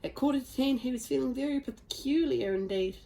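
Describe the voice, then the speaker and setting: A woman reading a children's storybook aloud with expressive, dramatic emphasis. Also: high-pitched, brisk, gravelly. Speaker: storybook reader.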